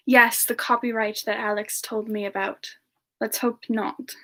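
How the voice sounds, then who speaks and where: A woman voices a playful demon girl with a high voice. She talks softly and very slowly, in a giggly, gentle, semi-ASMR way, as a streamer chatting casually with her viewers. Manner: giggly, soft-spoken, unhurried. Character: Frostbyte, a playful, gentle female demon character. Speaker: streamer answering chat and taking song requests. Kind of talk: semi-ASMR live Q&A, soft casual chat.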